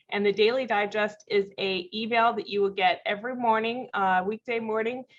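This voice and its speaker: A woman with a congested voice from a cold and a slight cough, presenting a software webinar in a friendly, explanatory way with frequent ums. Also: medium pitch. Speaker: company vice president presenting a software webinar. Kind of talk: live product demo webinar. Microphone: video call mic. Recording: dull lo-fi video call audio.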